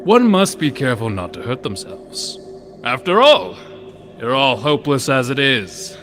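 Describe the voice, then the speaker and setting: A man's voice: medium pitch, big pitch swings, unhurried, a bit bright. A man playing a sadistic pony doctor who guides a tour with theatrical, gloating mock courtesy in a horror story reading. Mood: polite menace. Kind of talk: dramatized horror fan fiction reading. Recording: long hall reverb, music behind.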